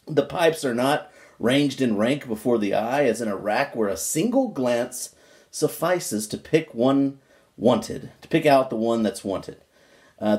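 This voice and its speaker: A man's voice: mid-range voice, darkish tone. Man reading an old book aloud. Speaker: amateur book reader.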